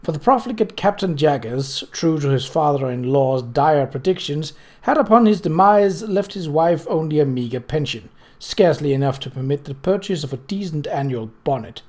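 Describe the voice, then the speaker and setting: A man reading a novel aloud as narrator, in a measured, formal storytelling manner. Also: on the high side, animated, darkish tone. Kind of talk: scripted audiobook narration.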